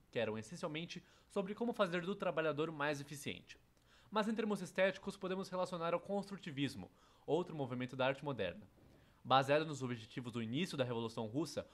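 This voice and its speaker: A man with a Brazilian accent presenting an educational course in scripted narration. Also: fairly high pitch. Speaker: educational presenter dubbing a theatre history course.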